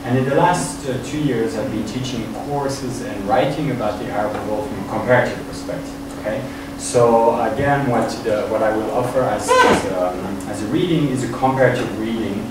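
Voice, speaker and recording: Swiss male academic in an echoey room. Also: mid-range voice. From Switzerland. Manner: halting, searching for words. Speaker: academic guest speaker. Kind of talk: informal conference talk, improvised from notes. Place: university room. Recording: echoey room, distant mic, noisy.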